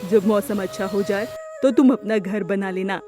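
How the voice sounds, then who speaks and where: A woman's voice: mid-range voice, moderate pace, over music, natural voice. A woman voicing a kindly, helpful male pigeon in a children's cartoon, reassuring and generous in manner.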